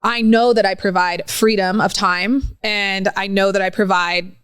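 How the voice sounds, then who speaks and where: Young female podcast co-host, animated and chatty, mid pitch, dry. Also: a little slow, clean tone, no room sound. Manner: animated, laughs often, quotes herself in raised voice. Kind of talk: casual chat.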